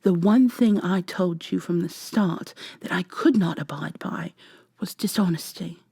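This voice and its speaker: Woman playing a bitter, husky robot woman. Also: on the low side, measured pace, mellow, no room sound, studio quality, heavily acted. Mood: bitter, sarcastic. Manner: cutting, formal. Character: wounded, bitter robot woman, betrayed lover. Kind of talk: roleplay ASMR audio drama.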